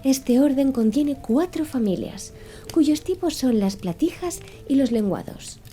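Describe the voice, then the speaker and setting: A woman's voice: sits high, expressive, unhurried, dark tone, pitched above the performer's own voice. A woman voicing an earnest, pedantic man who lectures with didactic precision in an audiobook. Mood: didactic, patient. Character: Conseil, earnest, pedantic man reciting fish classifications. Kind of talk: audiobook character dialogue.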